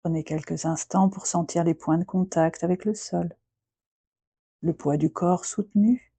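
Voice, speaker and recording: Female meditation guide: slow, soothing delivery with long pauses, soft breathy tone, close dry sound. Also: on the low side, no echo. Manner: gentle, unhurried, with long pauses between short phrases. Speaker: yoga nidra guide.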